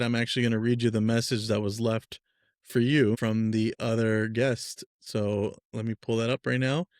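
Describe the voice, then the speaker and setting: A man from Peru hosting a casual podcast interview, relaxed and upbeat, talking loosely with fillers. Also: mid-range voice, no room sound, studio quality. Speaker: podcast host.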